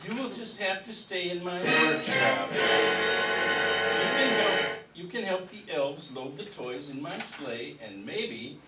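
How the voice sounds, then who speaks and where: A man playing a kindly, gently admonishing Santa Claus in an amateur comic radio play. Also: high-pitched, big pitch swings, slow, over loud music, full character voice. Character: kindly, reproving old Santa Claus held captive. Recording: noisy, echoey room, distant mic.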